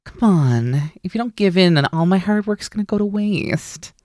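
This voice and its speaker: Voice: medium pitch, expressive, moderate pace, a little mellow, dry recording, clean studio sound, close to an everyday voice. A performer in an ASMR roleplay voicing a mischievous, teasing naga snake-demon roommate who coaxes playfully and likes to be in charge. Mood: mischievous, scheming.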